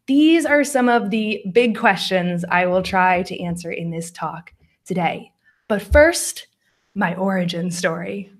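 A young American woman presenting a talk over slides, friendly, with frequent ums and small laughs. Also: mid-range voice. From United States. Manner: friendly, laughs often, fillers like um. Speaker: conference speaker.

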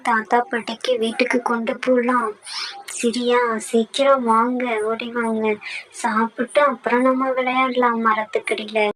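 An excited young child calls friends to hurry over and share, voiced by a woman. The voice is fairly high, with faint noise in the background. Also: poor audio. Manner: excited, urging others on. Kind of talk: cartoon voice acting.

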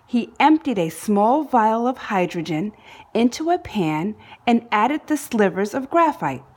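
A woman reading a children's book aloud in an expressive narrating style, phrasing deliberately and leaning on key words for drama. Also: fairly high pitch. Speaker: storybook reader.

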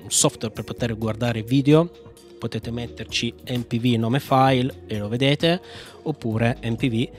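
A man's voice: mid-range voice, unhurried. Chatty man hosting a tech tutorial, music behind. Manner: informal, chatty, thinking aloud. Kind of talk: casual software walkthrough, talking while trying commands. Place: indoor room at a computer, screencast with small webcam.

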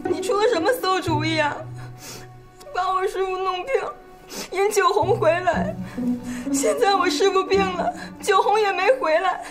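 A very high-pitched, brash young woman, speaks with a Northeastern Chinese accent. She is whiny and melodramatic, in the manner of television comedy-drama. Prominent music plays behind her. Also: big pitch swings, normal speed. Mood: tearful, aggrieved. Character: feisty, whiny young woman apprentice, quick to cry.

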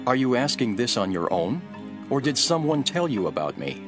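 An actor playing a calm, resolute male prisoner on trial in a scripture audio drama, measured and earnest. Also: medium pitch, lightly acted. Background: music.

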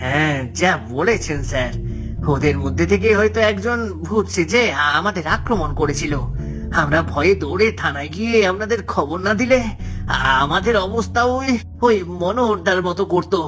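A man voicing a deferential, squeamish villager in a cartoon, excitable and gossipy, with a Bengali accent. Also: high-pitched, moderate pace, soft background music. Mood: fawning, excitable. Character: fawning, squeamish male villager, excitable witness.

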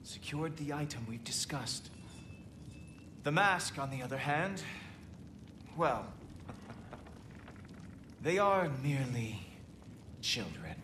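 A man's voice: a little high, big pitch swings, slow, long pauses, a bit bright, slight background noise, heavily acted. A man playing a smug, cruelly taunting Norse god in a video game, with condescending, theatrical sarcasm. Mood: sly, dismissive. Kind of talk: dramatic video game acting.

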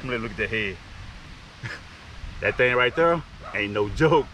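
A middle-aged man with a Southern US accent, talking casually and excitedly to his fishing vlog viewers, often repeating himself. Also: medium pitch, unhurried, lo-fi sound. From Arkansas and Louisiana, United States. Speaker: fishing channel host. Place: outdoors by a neighborhood pond. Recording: noisy outdoor recording.

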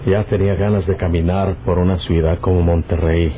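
An actor with a northern Mexican accent playing a folksy, domineering rural outlaw and smooth-talking lover in a radio drama. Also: male voice, sits low, flat delivery, brisk, close to an everyday voice. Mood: reassuring. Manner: folksy rustic speech. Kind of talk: old-time radio drama acting. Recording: tinny, noisy old radio recording.

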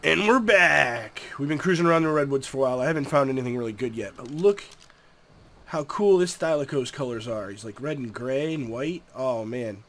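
A man's voice: mid-range voice, expressive, a little fast, a bit bright. A young man with a stuffy, nasal voice from a cold, giving easygoing, chatty commentary over a game and talking to the creatures on screen. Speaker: gameplay commentator. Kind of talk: casual gameplay commentary.

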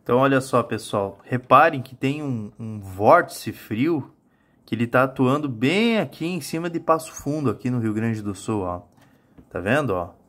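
A man in his thirties speaks with a southern Brazilian accent at a middling pitch. He explains the weather informally, like a forecaster chatting straight to his online audience, with colloquial tags to check that they follow. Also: animated, normal speed, a bit bright. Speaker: meteorologist, weather channel host. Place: small home office with monitors, indoors.

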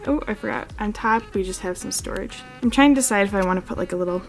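A chatty woman at a middling pitch talks through a casual tour, filling her speech with "like" and "um". She films close on a handheld camera in a carpeted room indoors. Soft music runs faintly underneath. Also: quick pace. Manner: chatty, filler-heavy, frequent "like" and "um". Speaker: model horse channel host. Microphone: handheld camera filming close.

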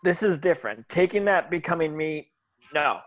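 A man's voice: on the high side, animated, moderate pace, fairly bright tone. A man playing a stubborn, protective teenage boy wizard hero in a table read over a video call. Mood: protesting.

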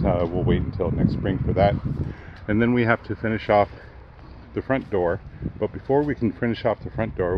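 An older man casually narrating a walkthrough of his homestead project, outdoors, talking into a handheld camera with plenty of ums and uhs. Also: deep voice. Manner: relaxed, hesitant with many fillers. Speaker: homesteading vlogger. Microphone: handheld camera at arm's length. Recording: dull lo-fi outdoor sound with clear wind noise.

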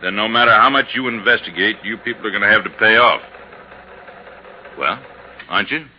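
A man's voice: fairly low pitch, fairly quick, close to an everyday voice. An American man acting a laconic, plain-spoken police sergeant in a 1950s radio drama, terse and matter-of-fact. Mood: insistent. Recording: muffled, noisy 1950s radio recording.

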